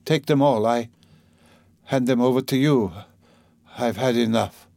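A man's voice: mid-range voice, steady tone, unhurried, vocal fry, a little mellow, no room sound. A man voicing a dying, delirious and bitter sick mother in an audiobook, faint and gasping, with a fretful, rambling, dramatic delivery. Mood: weary, resigned.

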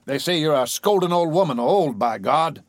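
A man reading an audiobook voices a blustering middle-aged businessman who lectures and brags in a loud, hectoring way. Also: fairly high pitch, lively intonation, normal speed, no echo, clean studio sound, voice pushed up, lightly acted.

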